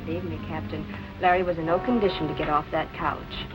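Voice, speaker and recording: An actress playing a brisk, loyal nightclub woman in 1940s film noir style. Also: low voice, fairly even intonation, music in the background, close to an everyday voice, rough recording. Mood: earnest, defensive.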